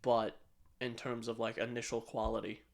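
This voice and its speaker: A man with a mid-pitched voice co-hosts a casual podcast. He gives his opinions bluntly and swears freely as he chats. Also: completely flat delivery, moderate pace. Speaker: podcast co-host.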